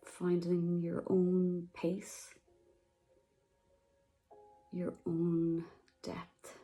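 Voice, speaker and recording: A woman guiding a meditation, speaking softly and slowly with long pauses. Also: fairly low pitch, completely flat delivery, faint music behind. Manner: slow, soft, soothing, with long pauses. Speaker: meditation guide. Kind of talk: guided meditation.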